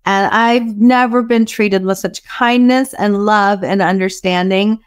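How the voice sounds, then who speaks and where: Woman, podcast host, medium pitch, effusive, enthusiastic, friendly interview chat, video call. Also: fairly even intonation, a little slow, clear, resonant tone. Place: small home office, indoors.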